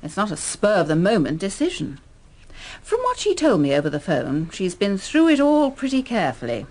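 An actress playing a genteel English wife, brisk and well-spoken, in a radio drama. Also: female voice, mid-range voice, wildly swooping pitch, a little mellow. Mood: matter-of-fact.

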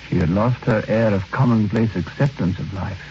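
Old radio play, actor doing a haunted guy telling his story, measured and solemn, dark soft tone, muffled with some hiss. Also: on the low side, close to an everyday voice. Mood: pensive. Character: reflective man narrating his own haunted tale. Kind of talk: old radio drama narration. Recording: noisy, dull old radio recording.

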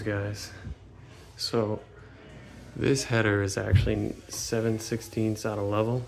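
Laid-back man narrating a carpentry build. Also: on the low side. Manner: relaxed, chatty, explains as he works. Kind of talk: casual build vlog narration. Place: workshop and home interior.

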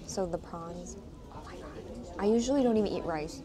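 A woman's voice: fairly low pitch, a little fast, a crowd in the background. Chatty young woman vlogging. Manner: chatty, upbeat, rambling with many "like"s. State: sniffling after a shower. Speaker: travel vlogger. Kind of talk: casual travel vlog chat. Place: hotel room, indoors. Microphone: lapel mic.

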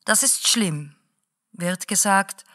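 A woman playing a late-night radio presenter who lectures in a slow, deliberate and didactic way. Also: mid-range voice, expressive, bright, forceful tone, no room sound, clean studio sound, full character voice. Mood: lecturing.

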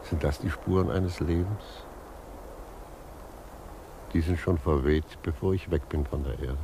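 An actor playing a gentle, philosophical old judge in a 1950s German radio play, reflective and earnest in manner. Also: male voice, extremely low pitch, measured pace, vocal fry, heavily acted. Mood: wistful. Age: older. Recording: 1950s radio recording, faint noise.

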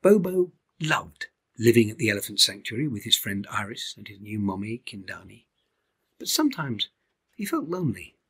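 Older man in his seventies, husky, very animated intonation, theatrical storybook narration. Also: lowish voice, moderate pace. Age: 70s. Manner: theatrical, animated delivery with hushed asides. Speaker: reader of a children's storybook. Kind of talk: expressive storybook reading. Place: indoors, small timber-beamed room at home.

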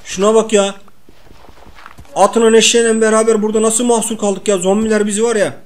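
A man's voice: sits high, flat delivery, brisk. A man playing a video game and chatting in casual slang with a co-player, exclaiming and repeating short phrases.

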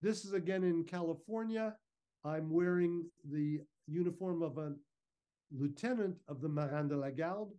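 Guy explaining his slides on a video call, soft mellow tone, voice a bit on the high side. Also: normal speed. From Canada. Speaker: guest speaker, historical reenactor. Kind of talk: informal slide presentation. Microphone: video call microphone.

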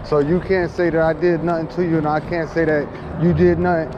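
A man's voice: on the high side, little pitch movement, a little fast, highs cut off. A man in a confrontational street exchange, insistently repeating his questions and accusations as host of an on-camera confrontation. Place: large open parking lot at night. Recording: very noisy outdoor action-camera audio.

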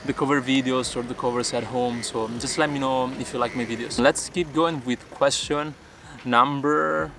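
A man's voice: mid-range voice, fairly even intonation, moderate pace, fairly bright tone, a little hiss or hum. Young Peruvian man vlogging outdoors. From Peru. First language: Spanish. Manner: earnest, explains step by step in careful non-native English. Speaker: YouTuber answering viewer questions. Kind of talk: casual vlog Q&A. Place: outdoors by a waterfront.

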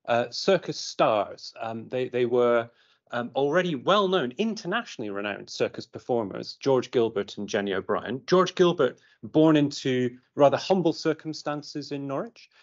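A British man giving an informal academic presentation, speaking haltingly and often repeating his words. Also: medium pitch, lively intonation. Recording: muffled lo-fi online meeting audio.

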